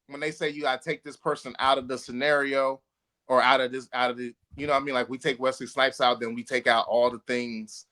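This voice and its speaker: A man's voice: mid-range voice, flat delivery, brisk, pushed and bright. An American man co-hosting a casual podcast among friends, speaking emphatically and often repeating himself for effect. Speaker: podcast co-host.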